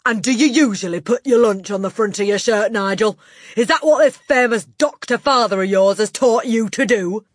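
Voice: mid-range voice, normal speed, dry recording, clean studio sound, voice pushed up, close to an everyday voice. A storybook narrator voicing a gigantic, tyrannical headmistress who barks and sneers insults with contempt. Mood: scornful, bullying. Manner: barking, bellowing, sneering insults.

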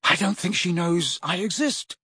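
A man voicing a lovesick, excitable teenage boy wizard in an audiobook, with a dreamy, breathless way of talking. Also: high-pitched, unhurried, breathy edge, dry recording, pitched above the performer's own voice, exaggerated voice.

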